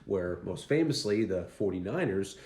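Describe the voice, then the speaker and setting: A man's voice: sits low, lively intonation, moderate pace, a little mellow. A young man from Vancouver Island with a Pacific Northwest accent, telling history casually with wry asides. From Vancouver Island, Canada. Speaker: history video host. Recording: roomy echo.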